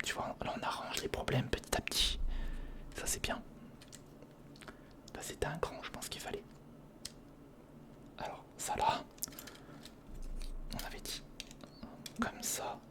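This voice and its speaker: A man whispering informal ASMR commentary close to the microphone, murmuring step by step to himself and the viewer as he works. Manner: murmured, informal running self-talk addressed to the viewer. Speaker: ASMR video creator. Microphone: close recorder microphone.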